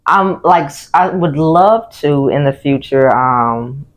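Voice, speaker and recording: Woman w a kinda deep voice, calm podcast guest, takes her time, lots of umms. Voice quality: a little deep. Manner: calm, thoughtful, many pauses and fillers. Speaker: singer and guest. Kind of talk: casual interview chat.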